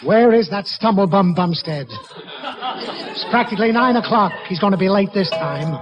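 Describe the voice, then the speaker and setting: A man playing a blustering, grouchy middle-aged office boss in a 1940s radio comedy, gruff and exasperated. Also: high voice, animated, moderate pace, over loud music. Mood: impatient. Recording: dull old radio recording, loud audience.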